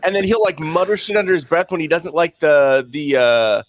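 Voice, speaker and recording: A man's voice: on the high side, normal speed, no echo. A man hosting a podcast, chatting in a loose, profane, easily amused way. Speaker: podcast host. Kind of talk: casual chat.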